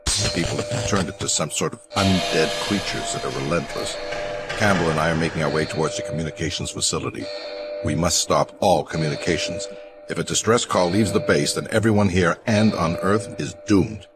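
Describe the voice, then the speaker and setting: A man playing a grim, deliberate counselor recording an urgent audio log in a sci-fi horror drama. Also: low-pitched, lightly acted. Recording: loud music under the voice.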